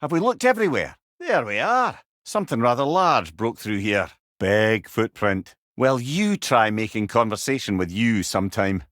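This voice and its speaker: A man's voice: lowish voice, animated, normal speed, a bit bright, no room sound, studio quality. A man with a Scottish accent playing a witty, learned older companion, a talking head in a video game, wry and eloquent with old-fashioned wording. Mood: watchful, musing. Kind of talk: video game character dialogue.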